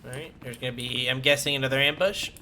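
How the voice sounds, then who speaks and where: A streamer talks casually through a video game in a bright, forward voice at a middle pitch. There is faint background noise with keyboard typing. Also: steady tone, fast, creaky. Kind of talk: casual gameplay commentary.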